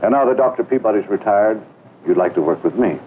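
An actor playing an older, self-assured physics professor, brisk and questioning, in a 1960s film. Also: male voice, animated, narrow, tinny audio, natural voice. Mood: brisk, probing. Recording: thin old film soundtrack, rumbling noise.

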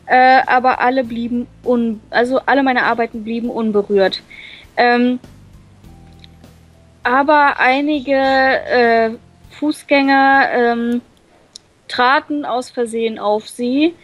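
A woman reading a visual novel aloud and translating it on the fly, halting and full of fillers. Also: on the high side, faint music behind. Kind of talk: casual let's-play reading.

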